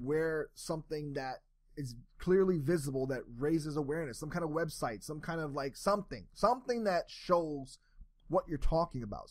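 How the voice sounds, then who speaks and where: A man's voice: a little high, dry recording. A young man from New York giving a solo sports opinion talk, rambling with frequent restarts and repeated words. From New York, USA. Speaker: sports commentary host.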